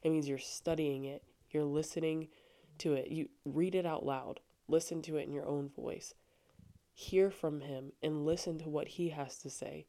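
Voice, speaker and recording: A young adult woman with a low voice talking earnestly and casually on her faith podcast, with frequent fillers. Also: fairly even intonation, normal speed, warm tone. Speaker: podcast host. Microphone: studio microphone.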